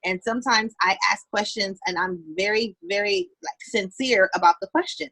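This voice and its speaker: A woman's voice: medium pitch, moderate pace, a bit bright, rough recording. An American woman chatting casually, with an encouraging, inquisitive way of talking. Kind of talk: casual chat.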